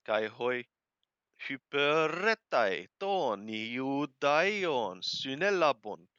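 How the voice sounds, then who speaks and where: A man reading scripture aloud and translating it phrase by phrase, in a deliberate, halting way with careful enunciation. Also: medium pitch, bright, forceful tone, clear, resonant tone, no room sound, highs cut off. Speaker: Bible reader and translator.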